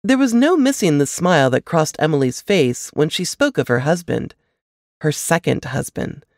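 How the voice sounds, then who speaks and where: A woman's voice: deep voice, expressive, moderate pace, darkish tone, dry recording, studio quality. A woman reading a novel aloud as scripted story narration.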